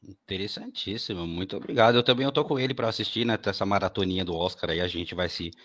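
A man's voice: deep voice, fast, a bit bright. A man with a São Paulo Brazilian accent hosting a podcast in a casual, chatty way, often repeating his words. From Guarulhos, Brazil. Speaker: podcast host.

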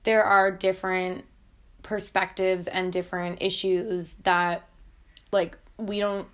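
A woman's voice: mid-range voice, level tone, takes it slowly. A young woman who interviews in a casual talk, thoughtful and hesitant, with many "like" fillers. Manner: reflective, hesitant, heavy "like" fillers. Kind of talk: casual conversation.

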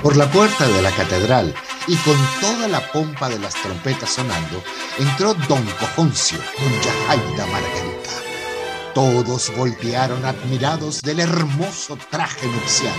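A man's voice: medium pitch, sing-song, measured pace, over loud music. A man with a Venezuelan accent narrating a melodramatic radio soap in a theatrical storytelling manner. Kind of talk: scripted radio drama narration.

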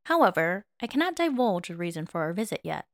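A woman voicing a courteous, composed elderly male palace official in an audio story, formal and unhurried. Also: on the low side, very animated, dry recording, studio quality. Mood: calm, insistent. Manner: formal, slow, polite.

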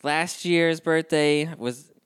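A man's voice: fairly high pitch, slow, clean tone, no room sound, studio quality. A man in his thirties co-hosting a casual podcast chat, playful and joking. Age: 30s. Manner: playful, jokey, animated. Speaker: podcast co-host.

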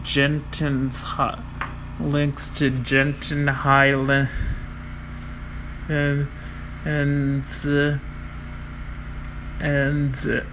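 Man, flat monotone, slow hesitant list reader who trips over names. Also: mid-range voice, noisy background. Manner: slow, halting, with many fillers and self-corrections; stumbles over foreign names and apologizes. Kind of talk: reading a list of world records.

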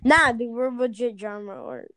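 A woman's voice: fairly high pitch, moderate pace, sharp tone. A young woman co-hosting a casual podcast, speaking bluntly and swearing casually. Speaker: podcast co-host. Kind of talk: casual chat.

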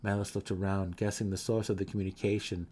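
A man giving a dramatic, theatrical reading of a fantasy novel as an audiobook narrator, with measured phrasing. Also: fairly low pitch, level tone, dark tone, slight background noise.

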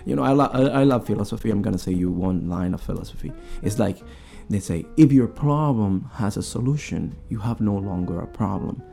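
A man, not a native speaker, talks casually and emphatically in an interview, repeating his points. His voice is creaky and mellow, with faint music underneath. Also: fairly low pitch, animated, fairly quick, hardly any pauses. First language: not English. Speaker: podcast guest. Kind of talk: casual opinionated interview talk.

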